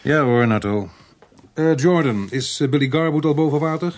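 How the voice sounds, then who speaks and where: An actor playing a brisk, dry, authoritative police inspector in a radio play, speaking Netherlands Dutch in a curt, wry, commanding manner. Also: male voice, a little high, close to an everyday voice. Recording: noisy archive radio play recording.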